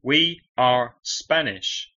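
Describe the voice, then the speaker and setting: A man from England with a London accent, teaching English with slow, deliberate phrases for learners to repeat. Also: mid-range voice, bright, forceful tone. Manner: clear, deliberate model phrasing for repetition. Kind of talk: slow language-lesson drills. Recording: dull lo-fi audio.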